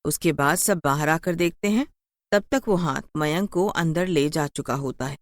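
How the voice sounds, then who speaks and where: A woman's voice: deep voice, moderate pace, dry recording, clean studio sound. A woman narrator reading a scripted horror story for children.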